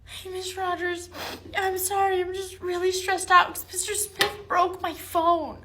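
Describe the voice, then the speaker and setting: Woman playing a high-voiced, naive schoolboy. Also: animated, a little fast, bright, forceful tone, exaggerated voice. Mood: upset, plaintive. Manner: earnest, confused, plaintive. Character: naive, earnest, easily flustered schoolboy. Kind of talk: comedy skit dialogue.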